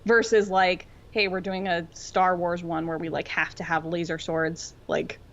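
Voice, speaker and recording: Lowish-voiced woman just chatting on a podcast, gushy and informal, bit muffled recording. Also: little pitch movement, unhurried. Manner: gushing, informal, frequent "like". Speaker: podcast co-host. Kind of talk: casual chat.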